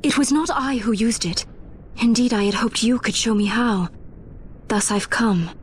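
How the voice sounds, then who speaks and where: An actress playing a proud, earnest young princess in a video game, with formal, stately diction. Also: female voice, on the high side, normal speed, slight background noise, lightly acted. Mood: guarded, insistent. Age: young adult. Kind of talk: dramatic video game voice acting.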